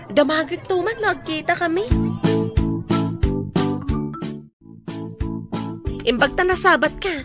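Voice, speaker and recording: Nosy coaxing female friend, Ilocano accent, high animated voice, radio drama delivery, music behind. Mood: curious, chatty.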